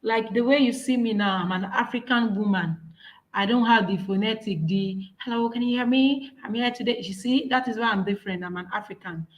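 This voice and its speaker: A woman's voice: mid-range voice, fairly quick, echoey room, dull audio. A woman with a Nigerian accent, whose first language is Yoruba, giving an earnest, encouraging workshop talk with slides, often restarting her phrases. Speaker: speaker.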